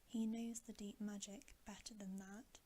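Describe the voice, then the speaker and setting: A woman's voice: medium pitch, level tone, a little slow, mellow, a little hiss or hum, close to an everyday voice. A woman voicing a proud, menacing witch queen in a whispered ASMR bedtime story. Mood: scornful.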